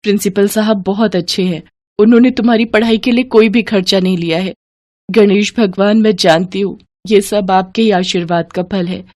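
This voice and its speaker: Devout, loving poor mother for a moral tale, played by a woman, earnest and tender, medium pitch. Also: fairly even intonation, moderate pace, darkish tone, no room sound, close to an everyday voice. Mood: grateful.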